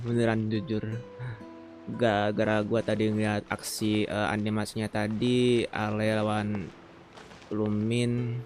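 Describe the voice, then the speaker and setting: A man with an Indonesian accent and a low voice gives casual game commentary. He sounds informal and gropes for words, with faint music behind him. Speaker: gaming content creator.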